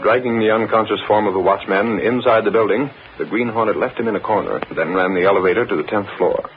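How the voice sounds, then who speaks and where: A man's voice: fairly low pitch, quick pace, natural voice. A man narrating an old-time radio adventure serial in a scripted, dramatic style. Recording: dull old radio broadcast recording. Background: music.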